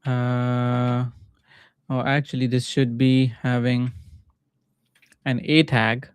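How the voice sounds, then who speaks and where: Male coding streamer, Pakistani accent, Urdu native. Clean, resonant mid-range voice, dry sound, informal halting think-aloud delivery. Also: medium pitch, little pitch movement, smooth, no room sound. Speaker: livestreaming coder and mentor. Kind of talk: casual live coding commentary.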